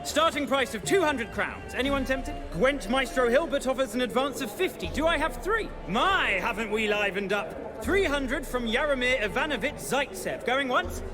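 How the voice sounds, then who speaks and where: An actor playing a genteel, excitable auctioneer in a video game, with showy, effusive, formal patter. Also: male voice, very high-pitched, unhurried, over music, exaggerated voice. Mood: reverent, enticing, then excited.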